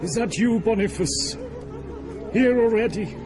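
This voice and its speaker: An actor playing a damned pope's grieving spirit in an audio drama, tearful, drawn-out and accusing. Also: male voice, high-pitched, music in the background, a crowd in the background. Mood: bitter surprise. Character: damned dead pope, bitter, grieving sinner's spirit.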